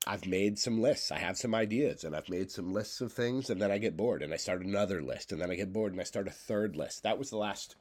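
A man in his thirties, congested and coughing, rambling loosely through a solo podcast from his bedroom. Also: low voice. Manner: loose, stream-of-consciousness rambling. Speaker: podcast host. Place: home bedroom.

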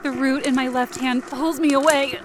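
A woman's voice: high-pitched, steady tone, moderate pace, heavy background noise, natural voice. A woman playing a frightened but determined young woman, telling a supernatural ordeal in tense, breathless first-person audio fiction. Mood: fearful, tense. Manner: tense, breathless, intense present-tense storytelling. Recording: music bed under the voice. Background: dramatic music.

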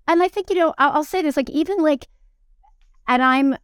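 A woman aged fifty talking as an actor being interviewed, telling things in an animated, emphatic conversational way. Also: high-pitched, normal speed, no room sound, studio quality. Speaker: interview guest, stage actor in musicals and plays.

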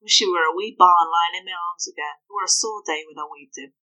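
A woman voicing a tearful, defiant older farm wife and mother in a high, emotional voice. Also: a little fast, bright, forceful tone, poor audio. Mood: wistful.

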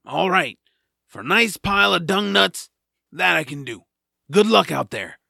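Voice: mid-range voice, expressive, measured pace, vocal fry, sharp tone, no room sound, clean studio sound, full character voice. An actor playing a lazy, grumpy, nap-loving creature who complains grudgingly in a cartoonish audio drama.

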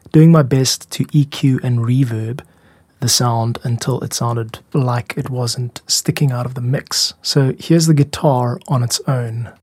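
A man hosting a podcast about making music, explaining things in a measured, candid, self-critical way. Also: mid-range voice, a little mellow, slightly rough, no echo. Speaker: music podcast host and composer.

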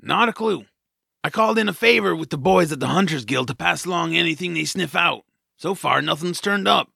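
Gruff, folksy male sidekick played by a man, raspy and creaky, a wary storyteller for a fantasy audio drama. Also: a little high, a little fast, pushed and bright, no room sound, clean studio sound, full character voice. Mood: matter-of-fact. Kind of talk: family audio drama acting.

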